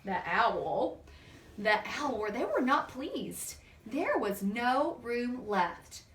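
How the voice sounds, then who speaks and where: A woman's voice: fairly high pitch, very animated, drawn-out words, a bit bright. A woman reading a children's picture book aloud expressively, with chatty asides and questions to a young listener. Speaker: storybook reader and teacher. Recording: roomy, distant microphone, background noise.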